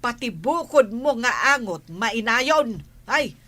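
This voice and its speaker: Nagging village woman voiced by an actress, Ilocano accent, slow drawn-out pace, sharp highish voice, radio comedy. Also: animated, full character voice. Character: scolding, nagging village woman, the captain's household.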